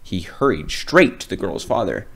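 A man reading a children's picture book aloud as a storyteller, with dramatic, drawn-out emphasis. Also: low-pitched, sing-song, a bit bright, slightly rough.

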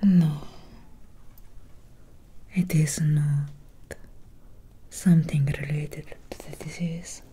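A young woman playing a gentle, melancholy Victorian vampire doctor in a breathy, soothing ASMR whisper, close to the microphone. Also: deep voice, steady tone, a little hiss or hum. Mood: calm, caring. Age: young adult. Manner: slow, soothing, hushed. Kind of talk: soft-spoken ASMR medical roleplay.

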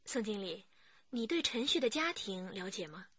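A confident young lady cop in a radio drama, bright lively voice, slightly muffled. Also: mid-range voice, normal speed, breathy edge, dry recording. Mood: probing. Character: spirited, opinionated young female police detective.

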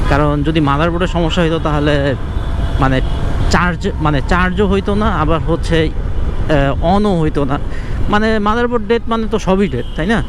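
A Bangladeshi-accented man talks outdoors on the road, with plain vehicle and traffic noise around him. His voice is slightly high for a man, and he rambles casually as if thinking aloud to his viewers. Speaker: motorbike vlogger. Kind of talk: casual chat while travelling.

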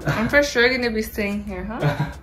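A woman's voice: a little high, expressive, normal speed, fairly bright tone. A young woman chatting casually in short remarks from behind the camera. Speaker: camera operator. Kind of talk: casual chat.

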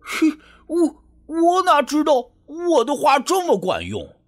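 A man's voice: extremely high pitch, wildly swooping pitch, slow pace, voice pushed up, full character voice. Man putting on a flustered, timid male sidekick. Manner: stammering, exclamatory.

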